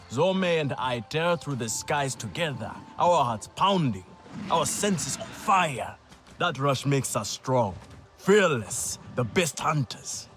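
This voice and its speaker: A man's voice: medium pitch, a bit bright. A man playing a proud, boastful young alien hunter with a cocky, grandiose manner in a game cutscene, music behind. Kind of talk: video game cutscene acting.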